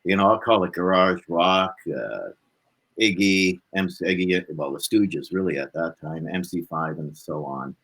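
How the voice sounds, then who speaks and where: Deep low guy in his 60s, musician guest just chatting, informal and rambly. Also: expressive, a little slow, a bit bright. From Canada. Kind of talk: casual interview chat.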